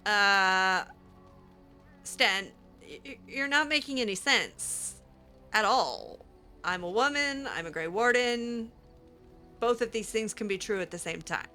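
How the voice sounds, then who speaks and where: A woman's voice: mid-range voice, lively intonation, slow pace, sharp tone, soft background music. A woman hosting a game roleplay, talking back to characters with sarcastic, hesitant remarks and laughs. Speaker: roleplay game player and channel host.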